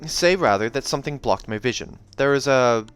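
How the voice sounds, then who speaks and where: A man's voice: medium pitch, lively intonation, brisk, a bit bright, smooth, voice pushed up, lightly acted. A man voicing a fierce, proud young savage shaman woman in a solemn, emphatic storybook reading, with music behind. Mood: defensive, angry.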